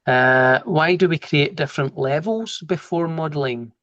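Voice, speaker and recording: A man with a broad Glasgow accent, a trainer giving a relaxed, informal live online lesson over a video call and talking through each step as he works. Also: fairly high pitch, normal speed, muffled recording. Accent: Glasgow Scottish. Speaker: software trainer. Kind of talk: live online training, explaining and answering questions.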